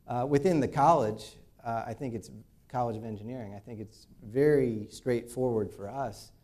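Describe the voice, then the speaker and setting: Male dean on a campus panel, mellow tone, halting delivery full of fillers. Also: mid-range voice. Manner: halting, many "uh" fillers and restarts. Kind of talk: unscripted panel remarks.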